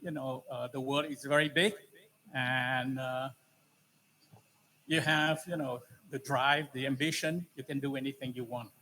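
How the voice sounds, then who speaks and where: A man in his seventies from Vietnam with a Vietnamese accent, giving an informal, halting talk of advice with many pauses. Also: medium pitch, fairly even intonation, sharp tone. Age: 70s. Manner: halting, frequent pauses and "you know". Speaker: alumni speaker, technology entrepreneur. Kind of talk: informal introduction and advice to an audience. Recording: noisy live event room.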